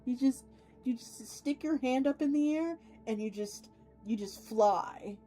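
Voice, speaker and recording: A woman livestream host speaks in a fairly high, slightly theatrical voice at a slow pace. She is playful and effusive as she chats casually with her viewers. Faint music plays behind her. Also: dark tone.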